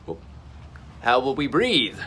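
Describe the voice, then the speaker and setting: A man's voice: mid-range voice, wildly swooping pitch, slow, sharp tone, slight background noise, heavily acted. A man voicing an eager, plucky male dinosaur captain in a children's story, upbeat and emphatic. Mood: keen.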